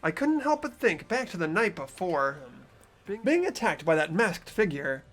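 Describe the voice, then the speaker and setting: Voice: medium pitch, sing-song, normal speed, fairly bright tone, soft background music. A performer voicing an earnest, hesitant teenage boy who narrates a video game story reflectively. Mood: brooding. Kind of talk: scripted game narration and dialogue, amateur voice acting.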